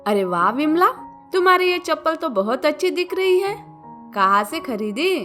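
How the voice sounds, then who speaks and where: Woman voicing a high, effusive village housewife. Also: big pitch swings, moderate pace, sharp tone, heavily acted. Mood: admiring. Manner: exclaiming, effusive. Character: curious, gushing village lady of the house. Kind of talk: cartoon story acting. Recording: music bed behind the voice.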